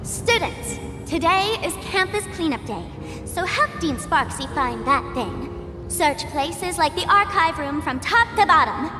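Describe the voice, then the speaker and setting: A woman's voice: very high-pitched, lively intonation, unhurried, echoey room, big-room sound, music in the background. A woman playing a bossy, chirpy school dean giving orders as an announcement in a game. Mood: bossy, cheerful.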